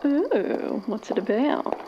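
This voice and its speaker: A woman's voice: on the high side, sing-song, takes it slowly. A woman playing a friendly, teasing colleague in casual audio drama dialogue. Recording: muffled, noisy, a bit distant.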